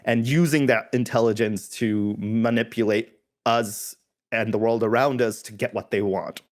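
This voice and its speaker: A man's voice: medium pitch, fairly even intonation, normal speed. Man from India explaining, thoughtful and self-correcting. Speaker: guest. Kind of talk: casual podcast discussion.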